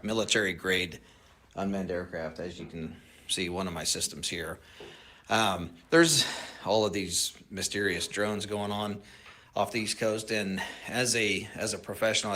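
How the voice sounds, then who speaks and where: A man who manufactures drones, giving his opinion in an earnest, measured and slightly halting way. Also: sits low, bright, forceful tone. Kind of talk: selfie video opinion.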